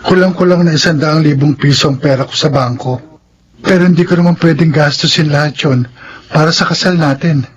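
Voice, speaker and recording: A man's voice: on the high side, brisk, lightly acted. A man playing an earnest, thrifty and proud young groom-to-be in a radio drama. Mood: earnest, practical. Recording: dull, noisy radio drama recording with ambience.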